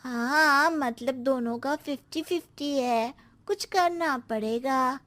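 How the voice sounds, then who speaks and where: A woman's voice: sits high, slow, fairly bright tone. A woman voicing a cheeky, lazy young schoolboy who drawls back-talk in a Hindi cartoon story. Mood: matter-of-fact complaining.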